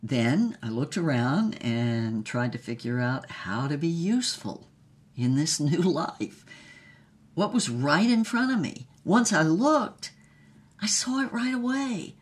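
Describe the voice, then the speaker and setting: A woman's voice: low-pitched, lively intonation, takes it slowly. An actress playing an older, reflective woman with a wry, emphatic way of telling her mystical life story in a drama. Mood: wry, reminiscing. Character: old woman, reflective mystical storyteller and former fugitive artist. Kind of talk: dramatic first-person storytelling, acted as conversation.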